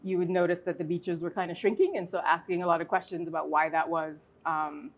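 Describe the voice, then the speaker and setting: A woman, a podcast guest telling a relaxed, easygoing story with light laughter in her talk. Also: lowish voice, a little fast. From Barbados. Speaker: podcast guest, water engineer. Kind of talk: relaxed conversational anecdote.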